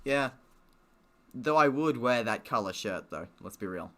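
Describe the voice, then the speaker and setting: A man's voice: mid-range voice, lively intonation, a little slow, bright, forceful tone. A man streaming a game, joking and swearing casually as he comments and reads its text aloud. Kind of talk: casual gaming commentary, reading visual novel text aloud.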